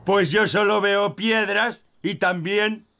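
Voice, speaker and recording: An actor playing a naive, good-natured dump truck in a children's cartoon dubbed in Castilian Spanish. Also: male voice, high voice, steady tone, takes it slowly, gravelly, full character voice. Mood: puzzled. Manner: naive, literal. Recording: music behind the voice.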